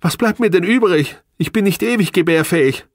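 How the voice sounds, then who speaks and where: An angry, demanding estranged wife in an audiobook, acted out by a man. The voice is lifted high above a natural male register, loud and biting. It bristles with indignation and stresses every accusation, recorded very dry. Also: lively intonation, normal speed, slightly rough, no room sound. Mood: defensive, agitated. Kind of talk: audiobook character dialogue.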